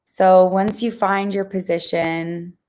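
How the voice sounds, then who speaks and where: Female meditation guide, unhurried and slightly low-pitched, gentle and reassuring, informal instructor tone. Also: fairly even intonation, rough recording. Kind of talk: guided mindfulness meditation, addressed to viewers.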